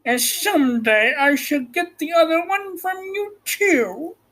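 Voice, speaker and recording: A man voicing a wicked, cunning old witch from a storybook, with a shrill, wailing delivery. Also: animated, takes it slowly, a bit bright, heavily acted, poor audio. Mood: spiteful, defiant.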